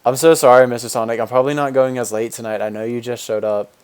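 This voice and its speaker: A man in his twenties with a deep voice, a game streamer talking casually with viewers while answering chat and rambling with fillers and restarts. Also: steady tone, fast, darkish tone, clear, resonant tone. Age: 20s.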